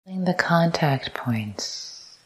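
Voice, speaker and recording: Casting: female meditation teacher, low register, very slow and soft, breathy near-whisper, calm repeated phrases. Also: poor audio. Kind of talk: guided meditation. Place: meditation retreat talk.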